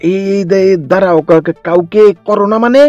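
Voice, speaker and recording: A man's voice: high voice, moderate pace, muffled recording, close to an everyday voice. A man voicing an indulgent, easily baffled school headmaster in a comic cartoon, exclamatory and flustered. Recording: music behind the voice.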